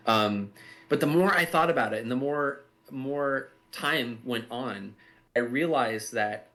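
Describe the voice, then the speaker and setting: A man's voice: mid-range voice, fairly bright tone. Reflective man talking off the cuff. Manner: halting, reflective, circling back to rephrase. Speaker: audio drama writer and creator. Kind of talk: unscripted commentary discussion.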